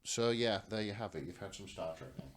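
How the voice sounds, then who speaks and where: A man's voice: on the low side, brisk. A man co-hosting a podcast in casual fandom chat, often hesitating and thinking aloud. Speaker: podcast co-host.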